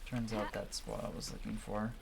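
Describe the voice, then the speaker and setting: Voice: mid-range voice, level tone, moderate pace, creaky, noisy background. A video game player muttering through a let's play, reading game text aloud and commenting to themselves. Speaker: video game player and amateur voice-over reader. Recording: music behind the voice. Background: game music.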